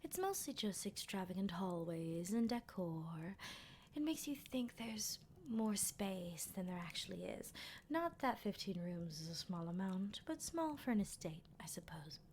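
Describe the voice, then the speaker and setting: A woman playing a teasing, sardonic female vampire captor in an ASMR roleplay, speaking in an unhurried, breathy half-whisper. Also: medium pitch, very animated, darkish tone, a little hiss or hum. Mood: wry, toying. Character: playful, menacing female vampire captor, decades old.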